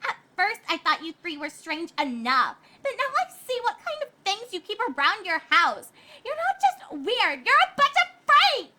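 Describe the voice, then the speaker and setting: A woman's voice: very high voice, big pitch swings, normal speed, bright, forceful tone, pitched above the performer's own voice, full character voice. A woman voicing a bossy, panicky young female pony who snaps and accuses, in a story reading. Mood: scornful. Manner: accusing, snappish.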